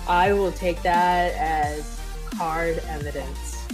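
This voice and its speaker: A woman's voice: on the low side, fairly even intonation, slow. A woman chatting casually and jokily as co-host of a live table read stream. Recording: music playing behind the voice. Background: music.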